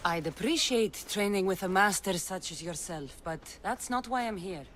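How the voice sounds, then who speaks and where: A woman's voice: medium pitch, expressive, moderate pace, fairly bright tone, a little hiss or hum, close to an everyday voice. An actress playing a blunt, determined Spartan mercenary woman in a video game cutscene. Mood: resolute, questioning.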